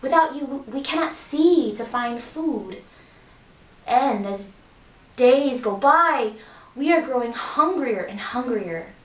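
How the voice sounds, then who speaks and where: A woman's voice: sits high, animated, slow. A woman doing an earnest storybook rooster. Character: brave loud-voiced male rooster. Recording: roomy echo, distant mic.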